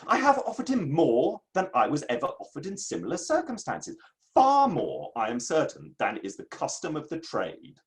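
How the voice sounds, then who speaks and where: A man's voice: fairly high pitch, huge pitch swings, moderate pace. A man in a dramatic play reading, playing a proud, aggrieved Victorian writer with a formal, emphatic delivery. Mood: indignant, scornful.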